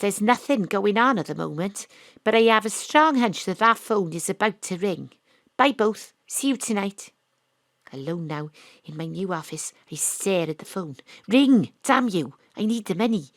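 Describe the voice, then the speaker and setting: A woman's voice: medium pitch, a bit bright, no room sound, clean studio sound. An actress playing a brash, wry Welsh private detective with a Welsh accent, narrating her own story. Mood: cheerful. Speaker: narrator and lead.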